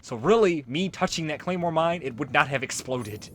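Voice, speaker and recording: A man's voice: on the high side, lively intonation, normal speed, slight background noise. A man giving casual, rambling gameplay commentary, explaining things as he plays and swearing freely. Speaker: let's play host.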